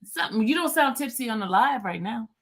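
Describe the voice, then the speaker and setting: A woman hosting a casual livestream chat, reading viewer comments aloud in an animated way. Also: a little high, brisk. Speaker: livestream host.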